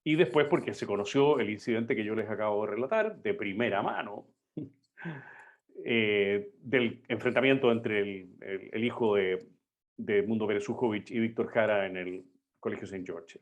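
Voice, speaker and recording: A man's voice: fairly low pitch, normal speed, dull audio. An older man from Chile, a history professor giving a live online class in a loose, conversational lecturing manner full of fillers.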